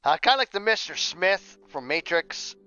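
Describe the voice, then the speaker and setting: A man with a fairly high voice that swings up and down as he talks. He rambles in a casual livestream style, half muttering to himself and swearing offhandedly. Faint music plays behind him. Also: animated, normal speed, pushed and bright, clean tone, muffled recording. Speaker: streamer and audio drama scriptwriter. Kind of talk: casual livestream chat while working.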